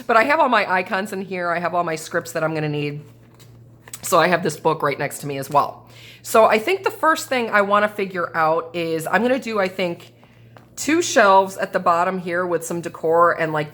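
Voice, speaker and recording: Woman, lowish voice, a bit stuffy and sinusy, casual craft chat, thinks out loud and changes her mind. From American Midwest. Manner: thinking aloud, indecisive, chatty with viewers. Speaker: planner and journal crafter. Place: indoors at a craft table. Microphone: camera over the table.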